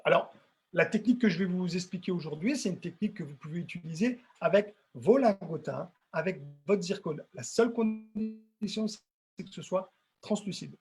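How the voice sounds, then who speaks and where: A middle-aged man presents an informal technical webinar in a fairly high, husky voice. He speaks conversationally, as a working technician explaining his craft, and repeats words to drive points home. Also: expressive, moderate pace, a little mellow. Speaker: dental technician giving a webinar.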